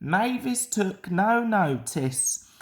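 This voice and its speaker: A woman's voice: mid-range voice, very animated, very slow. A woman reading a children's storybook aloud as scripted narration. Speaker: storybook reader.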